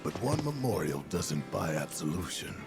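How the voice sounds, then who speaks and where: A man voicing a gruff, blunt, skeptical fighter in a video game. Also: sits low, sing-song, moderate pace, music in the background, exaggerated voice.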